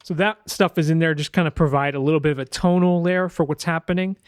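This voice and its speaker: A man's voice: a little high, fairly even intonation, fast talker, smooth. A man talking casually through a screen-share tutorial as a sound designer, informal and loose, thinking aloud as he goes.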